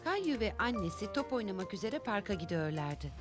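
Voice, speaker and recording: A woman playing the gentle storyteller narrating a preschool cartoon from a script. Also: mid-range voice, very animated, normal speed, over music, natural voice. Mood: gentle storytelling.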